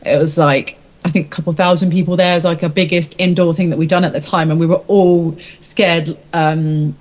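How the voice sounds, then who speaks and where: A woman's voice: fairly low pitch, steady tone, fairly quick, darkish tone, dull audio. A woman, a rock singer-songwriter, chatting casually in an interview in an informal, rambling, self-deprecating way.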